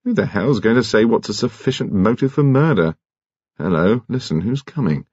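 A man playing a courteous English police detective in an audiobook, calm, probing and gently authoritative. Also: on the low side, husky, pitched above the performer's own voice. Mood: brisk. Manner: polite, measured, gently authoritative. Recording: muffled, echoey room.

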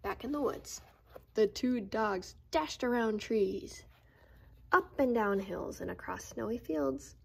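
Playful female storyteller for young children: unhurried, slightly high, creaky edges, light background noise. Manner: playful, expressive, with gasps and interjections. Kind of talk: animated children's read-aloud.

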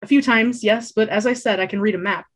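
A woman voicing a practical, weary older man who lays out plans in a plain, matter-of-fact way, with a slightly husky tone. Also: mid-range voice, a little fast, lightly acted.

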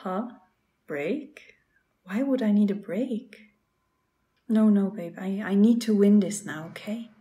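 A woman's voice: mid-range voice, slow, long pauses, mellow, muffled recording, close to an everyday voice. A woman playing a playful, game-obsessed young girlfriend in an ASMR roleplay, talking straight to the listener. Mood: playful, pleading. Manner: playful, pleading, talks to the listener as "babe".

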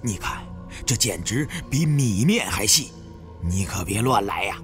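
A man's voice: on the low side, lively intonation, unhurried, faint music behind. A man voicing a timid, doubting older male advisor in an audiobook, anxious and questioning. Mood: fretful.

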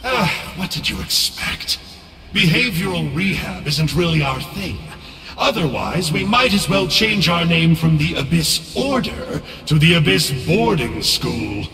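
A man's voice: on the high side, lively intonation, gravelly, bright, forceful tone, distant mic, exaggerated voice. A man playing a slippery, smugly philosophical monster clerk in a video game, theatrical and musing, with an echoing effect on his voice. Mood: defensive, boastful. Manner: theatrical, smug, drawn-out musing. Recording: echoey cavernous reverb, music behind.

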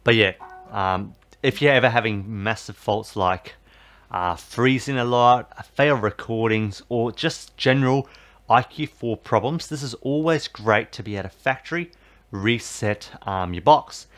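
A man explains a TV box reset in a loose, rambling way. His voice sits at an ordinary middle pitch, and he keeps circling back with fillers and restarts. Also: unhurried, fairly bright tone. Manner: rambling, filler-heavy, repeats "basically" and "obviously". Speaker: tech tutorial host. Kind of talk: casual how-to voice-over.

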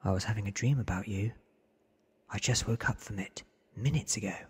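A man voicing an eager, naive, smitten teenage boy from a storybook in a breathy, questioning ASMR whisper. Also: on the low side, fairly quick, a little mellow. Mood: eager, curious.

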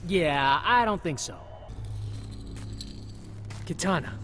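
A man's voice: a little high, expressive, slow, fairly bright tone, faint music behind. A young man playing a cocky, wisecracking ninja warrior in a video game. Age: young adult. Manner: glib, wisecracking. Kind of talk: video game fight banter.

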